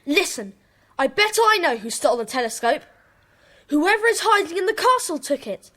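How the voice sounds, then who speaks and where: A woman's voice: high voice, very animated, moderate pace, pushed and bright, heavily acted. A woman playing an earnest schoolboy detective in a children's audio drama.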